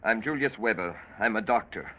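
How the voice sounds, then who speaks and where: Man playing an indignant doctor with swooping pitch. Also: expressive, moderate pace, a little hiss or hum, natural voice. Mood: indignant protest. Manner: emphatic, formal, protesting. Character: crooked male doctor, anxious, indignant, insistent. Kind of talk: 1940s radio acting. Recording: muffled old radio broadcast.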